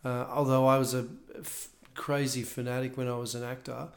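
A man who works as a voice coach and director, speaking thoughtfully and measured as a guest in a podcast conversation. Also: medium pitch, level tone, vocal fry.

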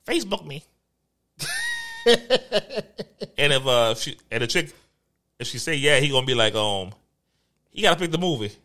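A man co-hosting a laid-back podcast, speaking with a bright, forward tone. His pitch swings widely as he tells loose, rambling stories. He keeps breaking into laughter between lines. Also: huge pitch swings, normal speed, long pauses. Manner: laughs often, loose storytelling with restarts. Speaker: podcast co-host. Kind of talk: casual podcast banter.

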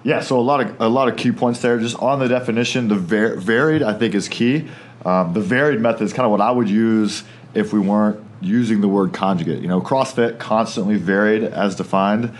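A man's voice: on the low side, fairly quick. Upbeat man hosting a fitness podcast. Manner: upbeat, friendly, laughs easily. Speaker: podcast host. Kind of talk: casual podcast chat.

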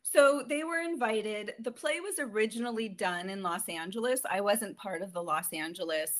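A woman's voice: mid-range voice, normal speed, a bit bright. Chatty Canadian woman telling stories. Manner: chatty, storytelling, laughs often. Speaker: guest actress. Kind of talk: casual interview chat.

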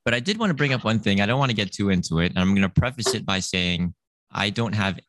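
A man with a low voice hosts a laid-back chat, rambling and leaning on fillers. The sound is dry and close, with no room around it. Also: lively intonation, fast, a bit bright, no echo. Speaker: podcast host. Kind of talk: casual chat among friends.

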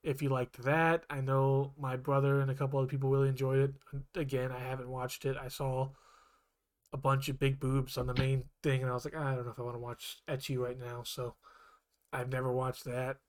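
A man's voice: medium pitch, fairly quick. A man co-hosting a podcast, chatting casually and rambling with frequent restarts. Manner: rambling, self-correcting, even-toned. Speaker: podcast co-host. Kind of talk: casual chat.

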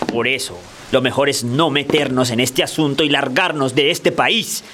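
An actor playing a bitter, fearful older Colombian husband in a radio drama, halting and complaining. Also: male voice, mid-range voice, sharp tone, slight background noise, natural voice. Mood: bitter, pleading.

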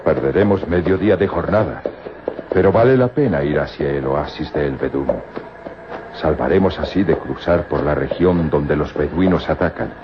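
Very deep-voiced desert guide. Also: male voice, normal speed. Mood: measured, puzzled. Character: prudent desert traveler man, a calm guide. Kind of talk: old-time radio serial acting. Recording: muffled, noisy old radio recording.

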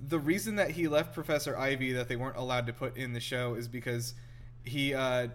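A man's voice: mid-range voice, fairly even intonation, a little fast, a little hiss or hum. A man giving casual, joking reaction commentary over a film, swearing now and then. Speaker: host of a movie reaction show. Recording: film soundtrack playing behind. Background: movie audio with music.

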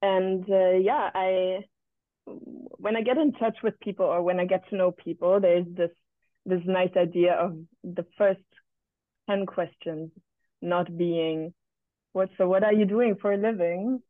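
A woman's voice: on the low side, steady tone, unhurried, no room sound. Young woman on a thin phone-like line. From Berlin, Germany. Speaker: podcast guest. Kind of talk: casual interview conversation. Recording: thin, phone-like remote call audio.

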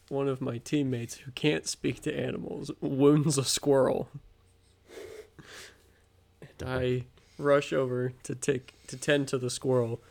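Gravelly young American man, halting and casual. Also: medium pitch, darkish tone. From American Midwest. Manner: halting, giggly delivery. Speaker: podcast co-host. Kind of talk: casual comedic improvised storytelling.

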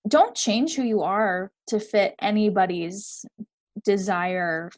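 A woman's voice: mid-range voice, slow pace. A young adult woman, a podcast guest, talking earnestly and filling her speech with "like". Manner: earnest, uses "like" a lot. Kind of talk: casual podcast interview.

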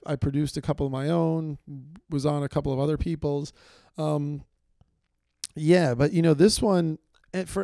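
Gravelly-voiced man rambling through a comedy podcast. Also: on the high side, mellow, no room sound, clean studio sound. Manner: rambling, self-deprecating, many fillers and restarts. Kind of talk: casual solo comedy monologue. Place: small room, filmed on video.

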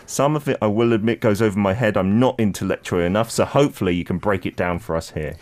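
A man's voice: fairly low pitch, quick pace, a little mellow. A man hosting a morning radio show in a studio, chatting upbeat with a guest and reading out listener messages. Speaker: radio host.

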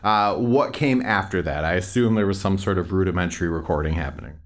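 A man's voice: low-pitched, fairly quick. A male podcast host asking open, easygoing interview questions.

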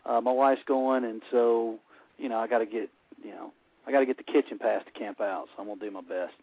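Fast-talking Alabama man on a phone line. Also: medium pitch, fairly even intonation, gravelly, slightly rough, dry recording. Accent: Alabama Southern. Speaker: caller co-hosting the interview. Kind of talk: casual radio phone chat. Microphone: telephone. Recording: dry, tinny phone line.

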